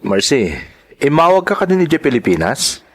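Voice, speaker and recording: A man's voice: fairly high pitch, very animated, normal speed. A man with a Filipino accent playing an exasperated husband and father in an audio drama. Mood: questioning.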